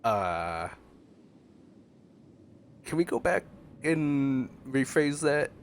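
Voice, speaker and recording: Slow, stuffy-nosed male game streamer. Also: medium pitch, very animated, slight background noise. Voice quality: stuffy, congested. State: getting over a cold. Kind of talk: casual reaction commentary over gameplay.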